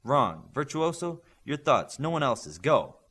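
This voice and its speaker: Man playing a superhero coach, stern, blunt, commanding, wide swings in pitch, audiobook dialogue. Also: big pitch swings, measured pace, fairly bright tone, slightly rough, exaggerated voice. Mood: brusque, testing. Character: stern, demanding male superhero coach lecturing his young trainees.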